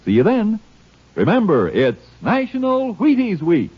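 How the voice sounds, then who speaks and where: A man's voice: high voice, huge pitch swings, unhurried, no room sound. An American man delivering a folksy, punchy commercial in 1950s radio announcer style. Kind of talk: 1950s radio advertising pitch. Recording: muffled, husky-sounding vintage radio recording.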